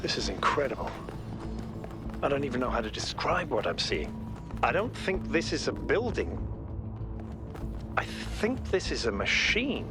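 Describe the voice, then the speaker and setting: Visionary expedition leader, emphatic and commanding, lively mid-range delivery, heavy game score behind. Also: male voice, mid-range voice, measured pace, pauses often. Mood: awed. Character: driven, visionary male robot expedition leader, passionate about progress. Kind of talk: dramatic video game voice acting. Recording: loud music over the voice. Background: loud game music.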